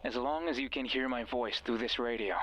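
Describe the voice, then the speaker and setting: A man's voice: medium pitch, steady tone, brisk, a bit bright, lightly acted, rough recording. A sinister, controlling male voice from a horror visual novel, acted by a man. He speaks in commands, manipulative and insistent, urging the listener to obey. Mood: coldly commanding.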